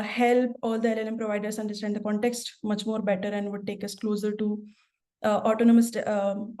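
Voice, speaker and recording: Soft-toned woman hosting a webinar. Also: fairly high pitch. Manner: hesitant, many fillers and restarts. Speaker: webinar host, product manager. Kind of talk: webinar moderation.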